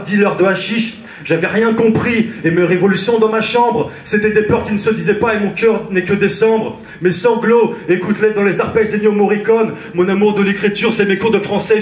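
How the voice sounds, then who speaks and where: A man from France delivers slam poetry live on a theatre stage, his rhythmic lines pressed out with intensity. His voice sits fairly high for a man. The sound carries the roomy echo of the hall. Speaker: slam poet.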